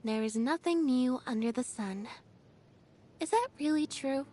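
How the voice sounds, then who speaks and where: A young female magician's assistant from a video game, with a fairly high voice. She is aloof and deadpan, speaking in a reserved, matter-of-fact way that sounds a little drowsy. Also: a little slow. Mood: deadpan, composed. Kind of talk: video game character voice lines.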